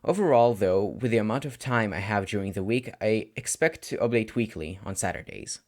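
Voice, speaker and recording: A man reading fan fiction aloud as an amateur audiobook narrator, in an expressive scripted style. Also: on the low side, a little fast.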